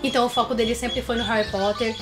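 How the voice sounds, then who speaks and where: A woman's voice: fairly high pitch, little pitch movement, fast. A Brazilian woman co-hosting a conversational film review, explaining her opinions. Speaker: co-host of a film review show. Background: background music.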